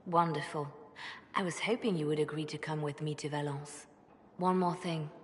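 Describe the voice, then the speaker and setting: Actress as low-voiced spymaster woman in reverberant hall. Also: fairly even intonation, normal speed, lightly acted. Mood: businesslike. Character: composed, devout woman spymaster, guarded and pragmatic. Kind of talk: video game cutscene dialogue. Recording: long reverb, faint background noise.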